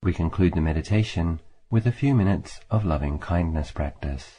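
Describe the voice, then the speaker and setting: A man leading a guided meditation as a teacher, speaking in a calm, measured way with long pauses between phrases. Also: deep voice. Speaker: Buddhist monk, meditation teacher. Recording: faint background hiss.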